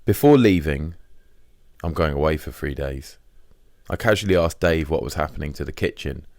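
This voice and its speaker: A man's voice: very deep voice, lively intonation, normal speed, darkish tone, dry recording, clean studio sound. A man reading a first-person diary as scripted audiobook narration.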